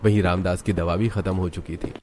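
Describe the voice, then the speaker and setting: A man serves as narrator of a scripted family cartoon tale. His voice sits fairly low, and there is faint noise in the recording. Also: fairly even intonation, fairly quick, mellow. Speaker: story narrator.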